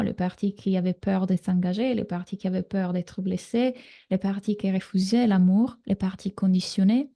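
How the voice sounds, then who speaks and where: Italian woman coaching, with a gravelly edge. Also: medium pitch. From Italy. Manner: warm, reassuring coaching talk with frequent fillers. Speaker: relationship coach. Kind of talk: live online masterclass.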